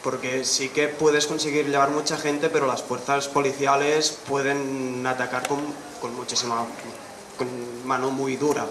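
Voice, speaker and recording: A man from Barcelona with a Catalan accent, asking a hesitant question at a public assembly. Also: medium pitch. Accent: Catalan Spanish. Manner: hesitant, restarting and searching for words. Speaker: audience member asking a question. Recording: roomy, noisy outdoor assembly.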